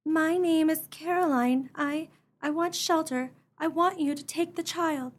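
A woman's voice: sits high, unhurried. An actress playing a desperate, forlorn young mother in a comic radio melodrama, with earnest, melodramatic delivery. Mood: pleading.

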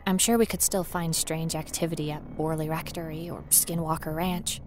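Low-voiced, nervy female ghost hunter played by a woman. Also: level tone, normal speed, close to an everyday voice. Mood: subdued, musing. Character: nervous, wry female paranormal investigator in a derelict building. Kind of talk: first-person horror audio fiction, acted narration. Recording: noisy ambient sound bed behind voice.

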